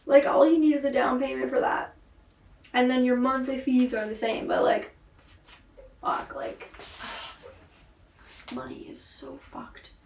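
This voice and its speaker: A woman's voice: high voice, slow pace, pauses often, darkish tone. A woman recording a rambling, introspective video diary, swearing and sighing often, with a voice she says is tired and her throat sore. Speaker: vlogger and singer. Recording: echoey room, far from mic.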